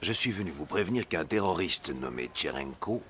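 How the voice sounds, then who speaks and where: An actor playing an enigmatic, courteous older gentleman in a French cartoon, formal, measured and ominous. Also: sits low, animated, a little hiss or hum. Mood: grave, urgent. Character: enigmatic older gentleman with a cane and a bad leg, grave, courteous. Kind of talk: French animated drama acting.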